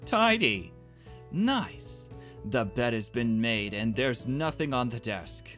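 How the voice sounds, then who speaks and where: A man with an animated, mid-pitched voice reads a visual novel aloud as he plays. He drops in casual, playful exclamations, and game music sits behind him. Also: slow. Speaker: let's player.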